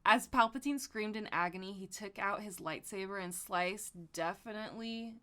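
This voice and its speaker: A woman podcast host reading comic fan fiction aloud and chatting casually, expressive and foul-mouthed. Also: medium pitch, normal speed.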